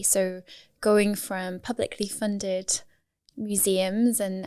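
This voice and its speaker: A woman's voice: mid-range voice, dry recording, studio quality. Upbeat woman hosting a podcast. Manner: upbeat, encouraging. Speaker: podcast host. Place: studio.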